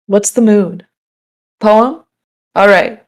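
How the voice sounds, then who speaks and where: A woman's voice: medium pitch, very slow, darkish tone. A woman hosting a festival livestream, talking in an upbeat, playful way and introducing the next act. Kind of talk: casual live-stream hosting.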